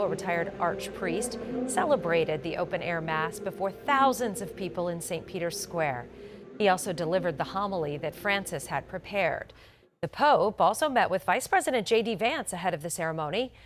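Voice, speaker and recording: A woman anchoring a morning TV newscast, reading scripted stories with polished, emphatic delivery. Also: mid-range voice. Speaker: news anchor. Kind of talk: scripted morning news broadcast.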